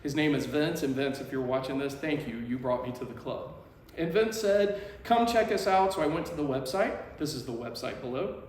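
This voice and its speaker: A man's voice: medium pitch, moderate pace, a little mellow. A man talking casually to camera, presenting a club as one of its members. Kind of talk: casual promotional talk to camera. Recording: echoey, reverberant room.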